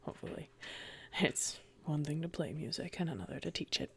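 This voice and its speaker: A woman voicing a soft-spoken, thoughtful man in a tabletop roleplay, hushed and halting, close to a whisper. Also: deep voice, lively intonation, vocal fry, husky, exaggerated voice. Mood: quiet, modest. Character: Chifley, soft-spoken thoughtful man, gently reverent, modest.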